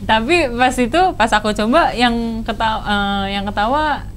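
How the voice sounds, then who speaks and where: A woman's voice: fairly high pitch, normal speed, a little hiss or hum. A young woman with a Banyumasan ngapak accent, telling stories in a casual chat as a guest, chatty and giggly. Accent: Banyumasan (ngapak) Javanese.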